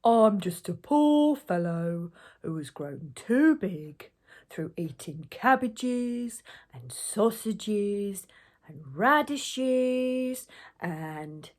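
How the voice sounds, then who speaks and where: A woman voicing a huge storybook ogre who talks in a halting, drawn-out way, slyly pleading innocence. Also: mid-range voice, big pitch swings, a little mellow. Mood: pleading, feigned innocence.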